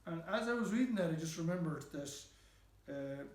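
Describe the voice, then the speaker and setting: A man's voice: a little high, animated, fairly quick, a little mellow, roomy sound. A man with a west of Ireland accent guiding an informal literary tour as a storyteller. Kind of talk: informal guided-tour talk with readings aloud.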